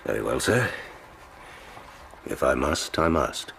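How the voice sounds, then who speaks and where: An actor playing a deferential but stubborn manservant, formal, dignified and restrained, in a radio drama. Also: male voice, a bass voice, big pitch swings, slow, vocal fry, fairly bright tone, a little hiss or hum, exaggerated voice. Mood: guarded.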